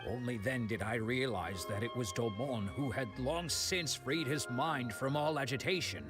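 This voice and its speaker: An actor voicing a proud older male swordsman in a game, telling of his old training days in a measured, reflective way. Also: medium pitch, close to an everyday voice. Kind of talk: video game voice acting. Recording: game audio under background music.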